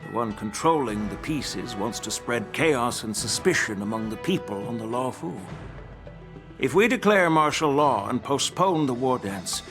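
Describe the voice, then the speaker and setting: An actor playing a measured, shrewd old grandfather who is a general in a fantasy video game, speaking formally and with authority. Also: medium pitch, lively intonation, music in the background, natural voice. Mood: grave reasoning. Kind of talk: dramatic video game voice acting.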